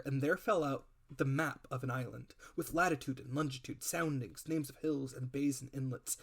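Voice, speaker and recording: A male audiobook reader narrates a classic adventure tale. His mid-pitched voice moves through the prose deliberately, with the phrasing of a dramatic storybook reading. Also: fairly bright tone, slightly rough.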